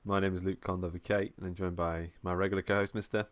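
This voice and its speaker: A man with a fairly low voice hosts a horror film podcast, talking in a relaxed, chatty way full of little restarts. He is close on a podcast mic with a dry, dead sound. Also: fast, no room sound. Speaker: podcast host. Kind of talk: casual film podcast chat.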